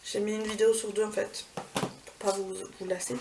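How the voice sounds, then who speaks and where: A woman's voice: medium pitch, level tone, normal speed. A woman vlogging a casual beauty haul, chatty, with many fillers and self-corrections. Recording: far from the mic, clear background noise.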